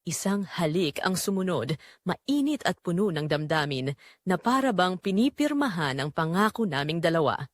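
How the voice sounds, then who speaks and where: A woman with a Filipino accent narrates a dramatic first-person romance story in a measured, expressive way. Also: lowish voice, no echo.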